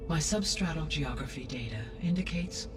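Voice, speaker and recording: A woman playing a calm, motherly artificial intelligence in a video game, speaking in a measured, formal and precise way. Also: sits low, distant mic, music in the background. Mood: composed, informative. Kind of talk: video game cutscene acting.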